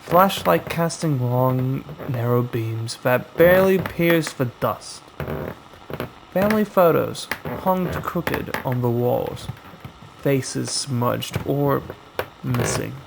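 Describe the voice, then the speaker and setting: A man narrating a scripted horror story in a dramatic way, with suspenseful pauses. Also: mid-range voice, animated, gravelly, background noise.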